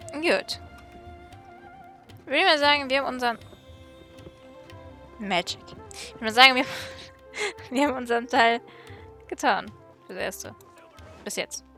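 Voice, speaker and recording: Female let's-play streamer: casual commentary, high and creaky, faint game music behind. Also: lively intonation, takes it slowly, pauses often, bright, forceful tone.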